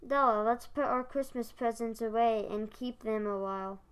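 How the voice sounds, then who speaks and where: A man's voice: extremely high pitch, steady tone, normal speed, fairly bright tone, clean tone, low-quality recording. A young boy playing a mild, loving husband in a school radio play. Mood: tender. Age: child, about 9 or 10.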